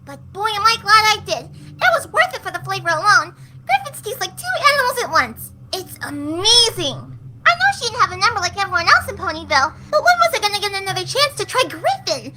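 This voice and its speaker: Squeaky, chirpy cartoon pony villain. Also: female voice, big pitch swings, brisk, poor audio. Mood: gleefully chatty. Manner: chirpy, chatty, childlike. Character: cheerful, giggly, sadistic pink female cartoon pony. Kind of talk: dramatized horror fan fiction reading.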